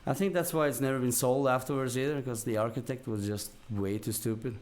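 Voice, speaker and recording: Podcast guest: Norwegian-accented man with an average-pitched voice, spinning stories in a rambling, blunt, dry-witted way. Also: fairly even intonation, a little fast, a little mellow, a little hiss or hum. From Norway. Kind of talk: casual storytelling.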